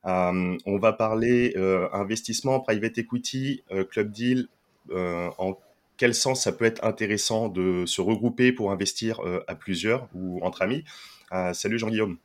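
Low-voiced man hosting with many pauses. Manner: hesitant, many filler sounds. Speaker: podcast host. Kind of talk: casual podcast interview.

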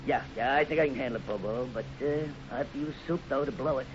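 An actor playing a smooth-talking, scheming American bank robber with a coaxing, falsely friendly manner in a 1950s radio serial. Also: male voice, mid-range voice, natural voice. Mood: slick, low-key. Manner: slick, coaxing, brisk. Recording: muffled, noisy old radio recording.

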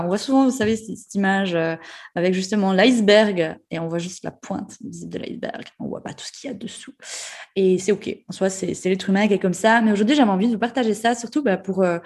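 A woman's voice: medium pitch, darkish tone. A young woman with a Jura Swiss French accent, hosting a podcast and candidly sharing her story in a conversational way, with frequent fillers and restarts. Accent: Swiss French, Jura (Ajoie). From Switzerland, Jura. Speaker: podcast host, nutrition therapist.

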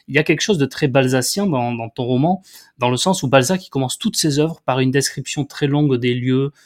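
A man's voice: mid-range voice, fairly quick. A man hosting a literary interview, informal and friendly in tone, building long questions with many hesitations.

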